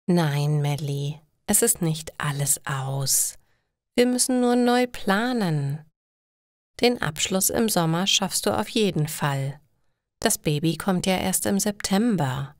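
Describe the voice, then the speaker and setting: Woman playing a slow-spoken, reassuring mother. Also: lowish voice, lively intonation, no room sound, studio quality. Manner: gentle, encouraging. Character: caring, practical, reassuring middle-aged mother. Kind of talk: acted dialogue in a slow learner's audio story.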